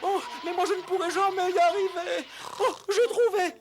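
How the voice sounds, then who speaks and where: An actor voicing a timid, kindly young mole gentleman in a cartoon dub, hesitant and fretful. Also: very high-pitched, steady tone, normal speed, noisy background. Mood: alarmed, fretful. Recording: loud music behind. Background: loud music.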